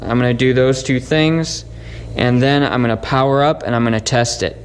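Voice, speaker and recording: A man with a mid-pitched, fairly flat voice talks through hands-on work in a casual way. He sounds informal and thinks aloud as he explains. Faint noise sits behind the voice. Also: normal speed. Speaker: host of a DIY electronics channel. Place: indoor electronics workbench. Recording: faint steady background noise.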